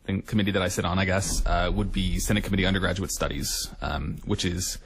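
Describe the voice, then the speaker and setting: A man's voice: low-pitched, fairly even intonation, fast talker, gravelly, a little hiss or hum. A young man, a student leader, answering interview questions earnestly with many ums. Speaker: student union director-elect, guest.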